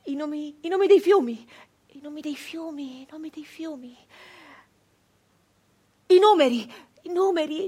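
An actress playing a grieving, traumatized 19th-century peasant woman in a theatrical radio monologue, halting and intense, with breathy speech that can sink toward a whisper. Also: high voice, a little mellow. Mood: feverish, obsessive. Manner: halting, intense, repeating phrases obsessively.

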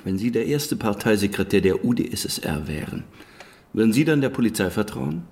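An actor playing a calculating Soviet party leader in a radio drama, speaking in a formal, measured, commanding manner. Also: male voice, fairly low pitch, expressive, vocal fry, a little mellow. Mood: grave, insistent. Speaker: supporting character.

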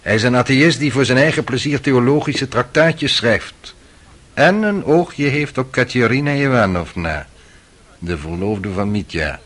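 A man's voice: medium pitch, animated, unhurried, fairly bright tone. An actor playing a cynical, worldly young man in a radio drama, sly and needling in manner. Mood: mocking, insinuating. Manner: sardonic, insinuating.

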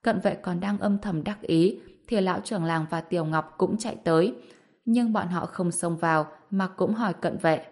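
A woman's voice: on the low side, normal speed, darkish tone. A woman narrating an audiobook story from a script, voicing the dialogue as she goes.

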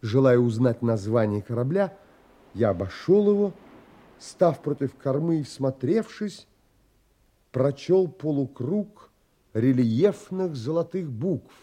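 An actor playing a pensive, dreamy, unsettled gentleman who narrates an audio play in measured, dramatic phrases. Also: mid-range voice, lively intonation. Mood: pensive, wistful.

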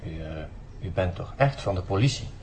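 A man's voice: sits low, animated, moderate pace. A man playing a wary, nervous town hall clerk in an audio drama. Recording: loud background noise.

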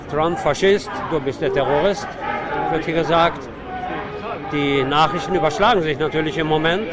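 High-voiced male street reporter amid loud crowd noise. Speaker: radio reporter and show host. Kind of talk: live on-location radio reportage from a street protest. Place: outdoors on a city square at a protest. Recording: noisy outdoor street recording amid a crowd. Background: loud crowd, street and vehicle noise.

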